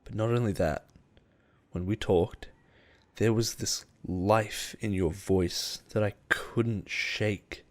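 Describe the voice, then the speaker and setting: A man playing an obsessive, possessive boss in love with his assistant, in an intimate audio roleplay with halting, pause-filled delivery. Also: on the low side, steady tone. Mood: brooding, intimate. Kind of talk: intimate dramatic roleplay monologue.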